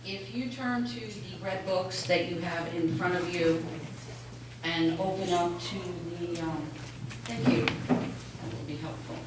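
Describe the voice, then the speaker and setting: Loud-voiced woman presenting in echoey hall. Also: lowish voice, a little slow. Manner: loud, plain explaining to the board. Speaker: town finance official presenting a report. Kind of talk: explanatory presentation. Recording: echoey meeting hall, mic far off, clear noise.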